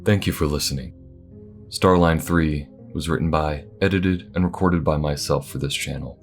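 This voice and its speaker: Man, very low-pitched voice, scripted outro narration, polite, formal, music behind. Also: fairly even intonation, normal speed. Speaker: writer and voice actor of the channel.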